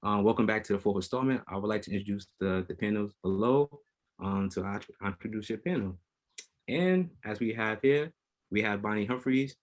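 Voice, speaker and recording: Male panel host, somewhat low voice, hesitant delivery with frequent fillers. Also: brisk. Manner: hesitant, full of ums. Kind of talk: hosting an online panel.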